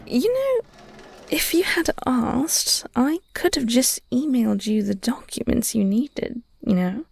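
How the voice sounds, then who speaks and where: A woman playing a flirty, teasing vampire nemesis who secretly cares, in an intimate audio roleplay, speaking softly and half-whispered with wry, elegant phrasing. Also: fairly high pitch, animated, exaggerated voice. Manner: breathy, soft, slow, half-whispered delivery.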